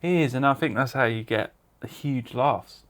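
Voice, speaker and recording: A man in his late thirties hosting a podcast. He speaks in a reflective, easy manner, often laughing and asking searching questions, at an ordinary middle pitch. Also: moderate pace, a bit bright. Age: late 30s. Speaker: podcast host. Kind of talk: casual podcast chat.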